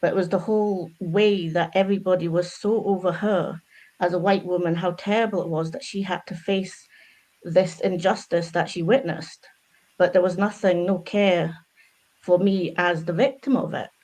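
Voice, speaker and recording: Low-voiced woman telling a personal story. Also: steady tone, moderate pace, a little mellow, poor audio. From Scotland, from about age ten. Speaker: guest, anti-racism consultant.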